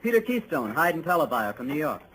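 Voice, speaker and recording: High-pitched, naive young city salesman. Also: sing-song, fast talker, a bit bright. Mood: indignant, chatty. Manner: bewildered, talkative. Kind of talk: 1950s radio western acting. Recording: noisy old radio drama recording.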